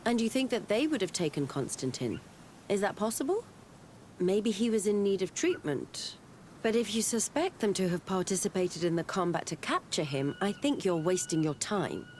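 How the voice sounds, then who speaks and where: An actress playing a composed, persuasive female scholar in a video game cutscene, speaking in a measured, frank, reasoning way. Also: medium pitch, sing-song, darkish tone, a little hiss or hum, close to an everyday voice. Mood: skeptical.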